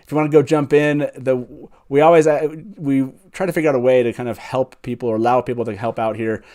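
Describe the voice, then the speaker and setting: A man's voice: mid-range voice, little pitch movement, fast talker, darkish tone. A man hosting a casual livestream, speaking in an enthusiastic, chatty way. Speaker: show host.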